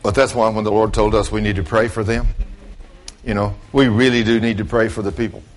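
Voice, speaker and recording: A man's voice: lowish voice, little pitch movement, a little fast, faint music behind. A man, giving an informal storytelling sermon in a folksy, plain-spoken way, talking straight to his listeners. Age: late 60s. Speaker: preacher.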